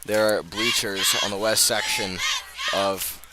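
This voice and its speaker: A man calling live American football play-by-play for radio, moving between measured narration and raised, excited calls. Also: fairly low pitch. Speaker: play-by-play sports commentator. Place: outdoors at a high school football field. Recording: noisy outdoor stadium, live broadcast.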